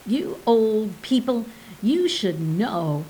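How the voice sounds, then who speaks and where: A woman's voice: medium pitch, very animated, takes it slowly, slight background noise, voice pushed up, low-quality recording. A woman voicing an old male Cajun swamp recluse who speaks in clipped, broken dialect with emphatic insistence. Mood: mocking. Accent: Cajun English.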